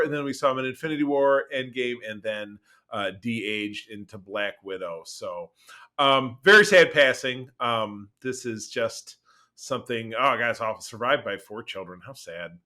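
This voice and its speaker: A man in middle age with a bright, punchy voice at an ordinary male pitch. He talks like a podcast co-host going over film and TV news, casual and conversational. His delivery is animated and emphatic, often repeating a phrase to drive it home.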